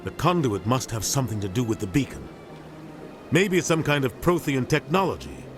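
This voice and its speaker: Male officer played by a man, earnest and analytical, lively intonation, music underneath. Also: mid-range voice, brisk, close to an everyday voice. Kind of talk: video game voice acting.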